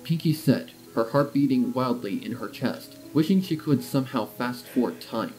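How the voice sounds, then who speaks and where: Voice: mid-range voice, animated, moderate pace, poor audio. A narrator reading a scripted romantic story in a storytelling style. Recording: music behind the voice.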